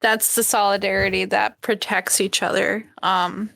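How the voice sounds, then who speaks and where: A woman in her twenties chatting casually as a podcast co-host, animated and informal in the way she talks. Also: mid-range voice, normal speed, gravelly, dry recording. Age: 20s. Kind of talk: casual chat.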